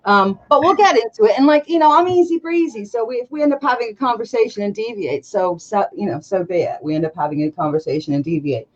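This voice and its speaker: Woman, forties, nasal, congested, lively up-and-down voice, dull lo-fi audio. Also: medium pitch, quick pace, hardly any pauses. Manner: chatty, laughs often, quotes others with lively voices. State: congested from allergies. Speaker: interviewer. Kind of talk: casual livestream interview.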